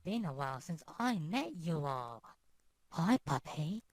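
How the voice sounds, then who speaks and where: A woman voicing an excitable young female critter in an amateur cartoon, gushing with wonder. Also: low voice, a little slow, no echo, exaggerated voice. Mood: excited.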